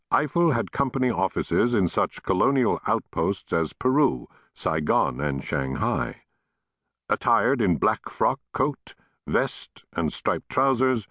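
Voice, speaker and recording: Male audiobook narrator, very deep voice, lively varied pitch, measured and expressive delivery, dry studio sound. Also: no room sound. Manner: measured, expressive storytelling reading.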